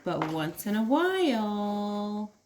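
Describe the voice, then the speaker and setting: A woman preschool teacher giving an expressive read-aloud for young children, adding simple explanations and questions, with emphasis on key words. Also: mid-range voice, slow, a little hiss or hum.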